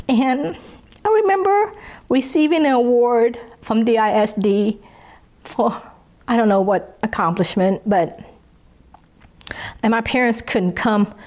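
A woman's voice: a little high, darkish tone. A woman in her fifties, born in Vietnam with Vietnamese as her first language, speaking in a Southern US accent, earnestly recalling her life in an interview. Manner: earnest, unhurried, with pauses. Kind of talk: reflective personal testimony.

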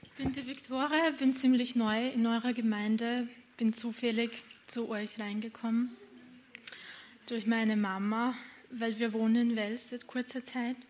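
Slow, even-voiced young woman. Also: a little high, slight background noise. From Ukraine, raised in Austria. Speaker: baptism candidate. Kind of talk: personal testimony.

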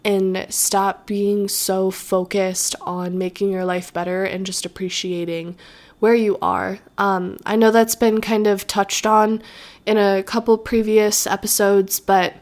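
A woman's voice: medium pitch, level tone, measured pace, a little mellow. Chatty woman podcaster, slightly nasal. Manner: chatty, rambling, self-reflective. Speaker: podcast host. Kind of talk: casual solo podcast chat.

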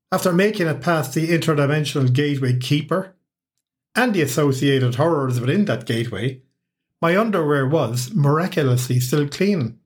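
An Irish man in his forties narrates his own story as host of a podcast. He reads from a script with a wry, humorous edge. His voice sits somewhat high for a man.

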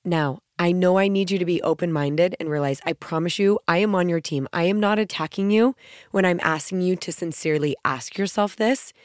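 A woman in her thirties hosting a podcast, talking in an earnest, encouraging way with a scratchy, gruff voice and a stuffy nose from a cold. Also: fairly low pitch, a little fast, no echo, clean studio sound. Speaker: podcast host. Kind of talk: motivational podcast talk.

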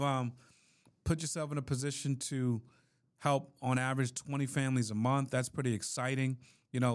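A man hosting a podcast interview, asking questions and encouraging his guest with short backchannels. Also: mid-range voice. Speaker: podcast host. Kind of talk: casual interview chat.

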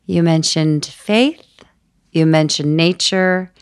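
A woman hosting a podcast interview who asks gentle questions and affirms her guest in a soft way. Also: deep voice, takes it slowly, clean tone. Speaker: podcast host. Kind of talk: conversational interview.

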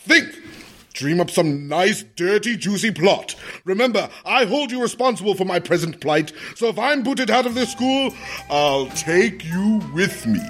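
A man playing a pompous, panicky, bossy high school principal in old-time radio comedy style. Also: animated, measured pace, fairly bright tone, faint music behind, full character voice. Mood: scheming, menacing.

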